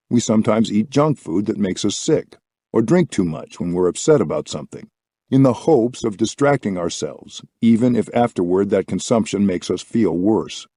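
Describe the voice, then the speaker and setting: A man reading a reflective book as scripted narration, with measured, deliberate phrasing. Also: lowish voice, dark tone, dry recording, clean studio sound. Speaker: audiobook reader.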